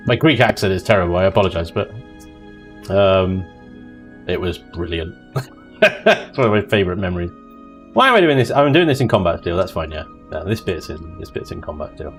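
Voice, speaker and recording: A man hosts a casual gaming stream and chats away in a low, easygoing voice. He jokes a lot and drifts into mock voices. Quiet music plays under him. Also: sing-song, brisk, long pauses. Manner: chatty, jokey, drifts into mock voices and laughter. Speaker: livestream host. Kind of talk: casual chat while gaming.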